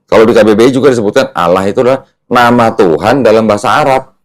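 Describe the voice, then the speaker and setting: A man's voice: lowish voice, fast. A man hosting a religious discussion, explaining step by step in a didactic, conversational way and checking that his listener follows. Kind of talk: conversational teaching with leading questions.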